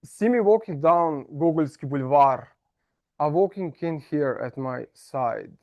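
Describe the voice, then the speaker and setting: A man with a Serbian accent delivers a comic club speech in a fairly high voice. He pokes fun at himself and stumbles into small restarts. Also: lively intonation, lo-fi sound. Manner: self-mocking, playful, halting restarts. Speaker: club speaker. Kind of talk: humorous prepared speech. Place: meeting room.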